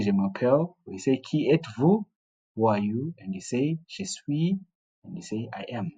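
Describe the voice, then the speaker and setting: A man teaching beginner French, repeating each phrase slowly and patiently. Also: medium pitch, dark tone, slightly rough, muffled recording. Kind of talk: beginner language lesson.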